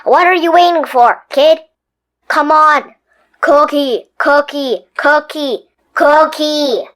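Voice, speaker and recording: A woman voicing a loud, demanding male sweet tooth that wisecracks and nags for sweets. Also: high voice, slow pace, voice pushed up. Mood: greedy. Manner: brash, wisecracking, nagging.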